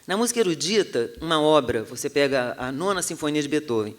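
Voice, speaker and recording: A man's voice: a little high, lively intonation, brisk. A Brazilian man explaining analytically as an expert in an interview. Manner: analytical, didactic.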